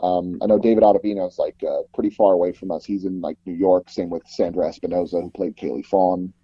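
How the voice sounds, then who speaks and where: Low-voiced man chatting, dry and slightly muffled. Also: fairly quick, slightly rough, no echo. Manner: rambling, animated storytelling with frequent "like" and repeated false starts. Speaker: interview guest, sound designer and audio drama producer. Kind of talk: casual interview chat. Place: small closet recording booth padded with sound-absorbing foam.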